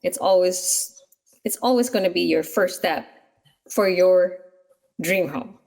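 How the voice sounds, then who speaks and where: A woman's voice: fairly low pitch, slow, gravelly, a little mellow. A middle-aged woman from the Philippines, a real estate agent, answering interview questions earnestly with fillers. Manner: earnest, explanatory, with many fillers.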